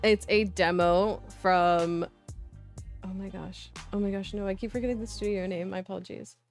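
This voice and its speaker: A woman's voice: mid-range voice, fairly even intonation, moderate pace, faint music behind. A woman hosting a livestream of visual novels, chatting casually and playfully and sometimes reading aloud, in an informal way. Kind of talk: casual game stream chat with reading aloud.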